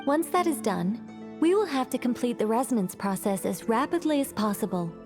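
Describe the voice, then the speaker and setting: A composed woman scholar lays things out in a clear, slightly high voice. She is methodical and exact, every step explained without hurry. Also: animated, fairly quick, over music. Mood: explaining. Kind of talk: video game cutscene voice acting.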